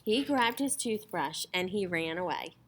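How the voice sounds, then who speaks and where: Slow, animated woman storyteller. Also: on the low side, slight background noise. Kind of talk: dramatic children's story read-aloud.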